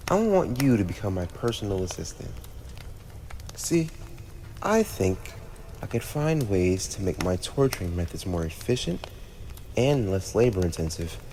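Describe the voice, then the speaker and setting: A man's voice: fairly low pitch, a little mellow. A man playing a smug, teasing, playfully menacing teenage demon prince in an ASMR roleplay, talking straight to the listener in a conversational, mock-formal way. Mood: earnest, persuasive. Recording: echoey reverberant room with clear background noise.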